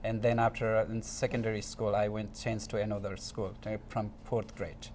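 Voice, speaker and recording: A man's voice: lowish voice, flat delivery, moderate pace, darkish tone, slight background noise. A man from Nepal with a Nepali accent, answering interview questions in hesitant non-native English, searching for words. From eastern Nepal. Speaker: interview guest.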